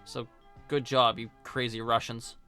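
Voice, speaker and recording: A man's voice: mid-range voice, level tone, unhurried, pushed and bright. A man narrating a casual, opinionated film review as host, blunt and sarcastic, swearing now and then. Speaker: host, video essayist. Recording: music behind the voice. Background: music.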